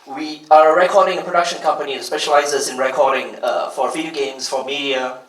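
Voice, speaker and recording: A man's voice: a little high, steady tone, brisk, fairly bright tone, distant mic. A man from Malaysia with a Boston-tinged American accent, introducing himself on stage in a friendly, self-assured way. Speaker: music mix engineer presenting at a launch event. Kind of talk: stage presentation to an audience. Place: large event hall. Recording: echoey hall, voice from off-mic.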